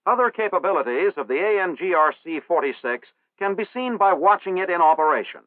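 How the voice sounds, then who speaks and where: A man narrating a military training film in a formal, measured, instructional manner. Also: high voice, lively intonation, no room sound. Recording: old 1960s film soundtrack, tinny.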